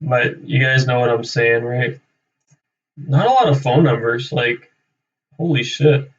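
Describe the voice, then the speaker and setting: Young guy rambling on a livestream, thinking out loud, says right? a lot, sounds kinda far from the mic and a bit muffled. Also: mid-range voice, unhurried. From Canada. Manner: rambling, thinking aloud, tags sentences with "right?". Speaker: realtor hosting a live cold-calling stream. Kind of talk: casual off-the-cuff livestream chat. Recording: distant, slightly dull microphone.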